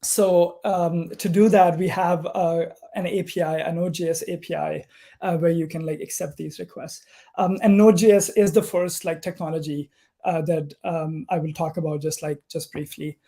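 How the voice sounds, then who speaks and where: A man's voice: a little high, steady tone, normal speed, vocal fry, warm tone. A man from Pakistan giving a technical conference talk over a video call, hesitant and full of ums and uhs. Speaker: conference speaker, software engineer.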